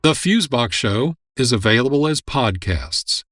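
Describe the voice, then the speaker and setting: A man's voice: medium pitch, expressive, normal speed, a bit bright, a little hiss or hum. A man presenting a radio show as host, reading a scripted introduction.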